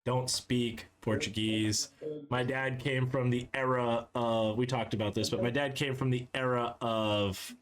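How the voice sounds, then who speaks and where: A man's voice: mid-range voice, steady tone, unhurried. A man from Cape Cod, Massachusetts, hosting a casual podcast chat, laughing often and swearing offhandedly. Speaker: podcast host.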